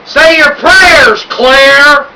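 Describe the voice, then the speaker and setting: A man voicing a bossy, hot-tempered eleven-year-old bully who shouts his threats. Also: extremely high pitch, extremely slow, distant mic, voice pushed up, heavily acted, poor audio. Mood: shouting. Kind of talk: audiobook character voice.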